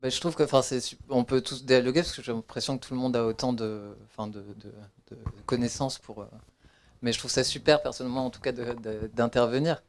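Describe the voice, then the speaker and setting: A man's voice: mid-range voice, fairly even intonation, fairly quick. A man, the author of the book, answering audience questions in a hesitant way with many fillers and restarts. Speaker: author presenting his book.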